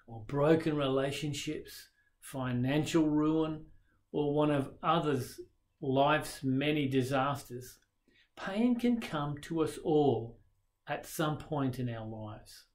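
A man's voice: a little high, slow, darkish tone. A man in his sixties with an Australian accent, preaching a recorded sermon straight to camera from a small dim room, earnest and reflective. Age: 60s. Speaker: pastor. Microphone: webcam facing him at desk distance.

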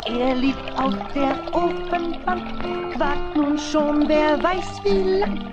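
Woman as a high, singing vagabond minstrel. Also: slow, dull audio, over loud music. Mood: carefree. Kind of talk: sung children's rhyme.

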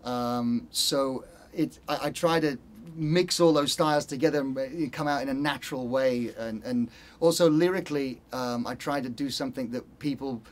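A man's voice: medium pitch, normal speed, hardly any pauses. A middle-aged man from Spain, a guitarist talking casually as an interview guest and often searching for words. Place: recording studio control room, seated.

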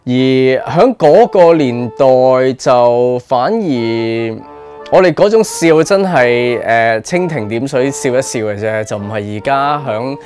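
A man's voice: medium pitch, expressive, slow pace, a little mellow, soft background music. A man in his forties with a Hong Kong Cantonese accent, talking casually and reflectively in an interview in a small studio. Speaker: radio drama writer and performer being interviewed.

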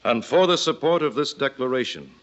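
A man's voice: mid-range voice, animated, a little fast, fairly bright tone, natural voice. A man playing an intense, earnest colonial statesman with emphatic delivery, in an old-time radio drama. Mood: solemn, resolute. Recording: dull vintage radio recording.

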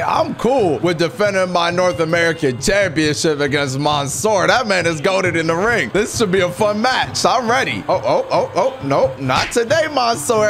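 A young man commentates his game in a high, lively voice that swings all over the place, with excited trash talk. His voice is going hoarse from the session. He speaks into a headset mic at home, with game music playing beneath him. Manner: excitable trash talk, reads messages aloud in mocking voices. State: losing his voice. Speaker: gaming channel host. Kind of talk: live gameplay commentary. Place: home streaming room. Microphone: headset with studio mic.

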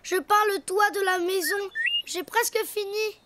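A performer voicing an eager, tender little boy donkey in a French preschool cartoon, earnest and expressive. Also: very high voice, measured pace, very noisy. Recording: music behind the voice.

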